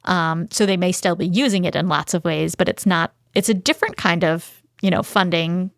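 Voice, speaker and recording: A woman's voice: on the low side, moderate pace, dry recording, clean studio sound. A woman co-hosting a podcast in a conversational discussion style, partly reading from notes. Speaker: podcast co-host. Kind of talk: conversational podcast discussion with some read copy.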